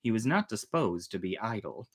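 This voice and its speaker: Storyteller, dramatic reading, theatrical delivery, wide swings in pitch, swells and hushes. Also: sing-song, normal speed, slightly rough. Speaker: storyteller, host.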